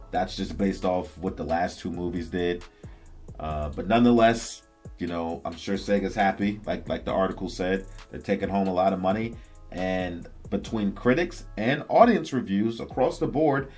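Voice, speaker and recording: A man with a fairly deep voice talks to his viewers as a vlog host. His commentary is casual and opinionated, with an enthusiastic fan's slangy phrasing. Music plays behind him. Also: a little mellow, husky. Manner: enthusiastic, slangy fan talk. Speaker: host of a video game and movie news channel. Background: background music.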